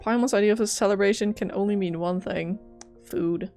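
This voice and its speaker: A woman's voice: mid-range voice, a little fast, over music. Young woman casually commentating a game. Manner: offhand, jokey asides. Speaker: game streamer.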